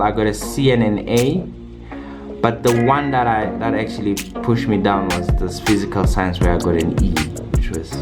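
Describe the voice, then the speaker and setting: Low-voiced young man vlogging in an echoey room. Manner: chatty, repeats words as he goes. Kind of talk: casual personal vlog. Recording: echoey room with music behind.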